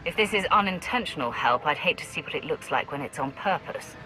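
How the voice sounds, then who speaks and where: A commanding, dry-witted warrior in a video game. The voice is forceful and sharp, with clipped, assertive phrasing over faint music. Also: female voice, low-pitched, expressive, brisk, low-quality recording. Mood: firm.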